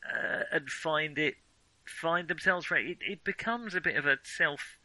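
Man, podcast host, sharp bright voice, close dry sound, opinionated, digressive, casual film chat. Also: fairly high pitch, measured pace, no room sound, rough recording.

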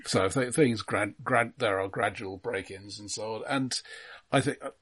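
Husky man with a dry, understated manner. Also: fairly low pitch, normal speed, fairly bright tone. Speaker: film podcast co-host. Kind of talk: casual film discussion.

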